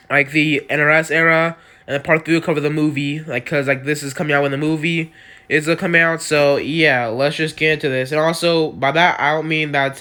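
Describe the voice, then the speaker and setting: A man's voice: a little high, bright, forceful tone. Rambling man with a cold. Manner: rambling, lots of likes and uhs. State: has a cold. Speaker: channel host. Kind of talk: casual video-game lore recap.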